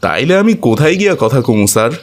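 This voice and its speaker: A man voicing a humble, loving poor father in a cartoon, gentle and plain-spoken with a colloquial Bangladeshi accent. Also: medium pitch, sing-song, racing. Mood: humble, pleading. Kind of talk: animated cartoon dialogue.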